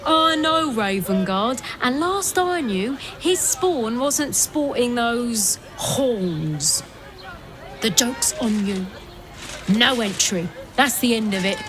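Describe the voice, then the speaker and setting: A stubborn fantasy-game gate guard. She is blunt and mocking, in a slightly high, unhurried voice. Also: lively intonation. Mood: dismissive. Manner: blunt, dismissive. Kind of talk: video game character acting.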